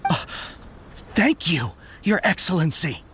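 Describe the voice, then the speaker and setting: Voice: mid-range voice, very animated, slow, a bit bright, a little hiss or hum. Earnest soldier with swooping intonation. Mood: surprised, grateful. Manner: pleading, earnest, deferential. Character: earnest, zealous soldier, deferential to a superior. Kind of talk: scripted video game character dialogue. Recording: game music underneath.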